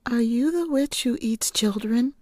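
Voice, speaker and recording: A woman's voice: fairly high pitch, measured pace, mellow, dry recording, studio quality, voice pushed up, close to an everyday voice. A woman voicing a blunt, earnest nine-year-old girl in a storybook, with an artless way of talking. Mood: blurting. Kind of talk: storybook character reading.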